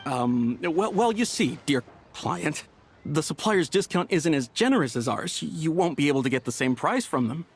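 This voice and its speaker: A man's voice: fairly high pitch, sing-song, moderate pace, breathy edge, full character voice. A man voicing an ingratiating tea shop vendor in a video game, polite and nervous, with a stammering delivery. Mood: nervous, evasive.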